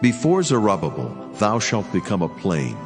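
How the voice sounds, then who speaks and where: A man playing a solemn, commanding angel who speaks God's word in a grave, measured, declamatory way, as in a dramatized scripture reading. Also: lowish voice, huge pitch swings. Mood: grave, majestic. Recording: long reverberant hall with music underneath.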